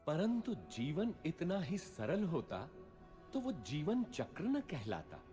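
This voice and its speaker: A man's voice: fairly high pitch, huge pitch swings, slow, warm tone, soft background music. A man narrating a mythological story in a dramatic, scripted storytelling style.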